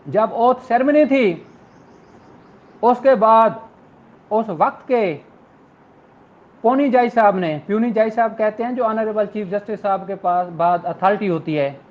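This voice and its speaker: A man's voice: high voice, measured pace, pauses often, narrow, tinny audio. A man with a Pakistani accent lecturing law students in an earnest, rhetorical way, repeating phrases for emphasis.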